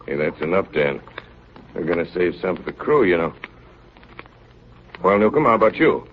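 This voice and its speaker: An actor playing a steady, grave ship's officer telling of an Arctic voyage in 1930s radio drama style. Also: male voice, low-pitched, moderate pace. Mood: easygoing. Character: ship's chief narrating his Arctic diary, steady and grave. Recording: muffled, noisy 1930s radio recording.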